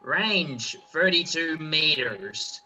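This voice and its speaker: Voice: mid-range voice, lively intonation, extremely slow, pushed and bright, a little hiss or hum, exaggerated voice. An actor playing a commanding artillery officer in a radio play, giving clipped firing orders.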